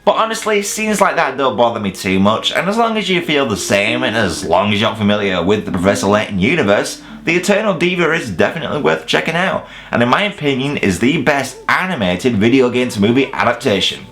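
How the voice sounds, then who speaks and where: A man's voice: mid-range voice, expressive, brisk, few pauses, music in the background. A man presenting an opinionated scripted video review in a blunt, wry, sweary way. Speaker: video reviewer presenting a top ten list.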